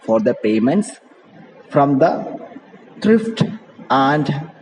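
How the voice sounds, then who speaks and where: A man's voice: medium pitch, big pitch swings, very slow, darkish tone. A sheepish yet carefree young husband from a stage play, read by a man with an Indian English accent. He sounds easygoing about it all, in a reverberant recording with noise behind. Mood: breezy. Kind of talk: dramatic read-aloud of a play. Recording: echoey reverberant room with background noise.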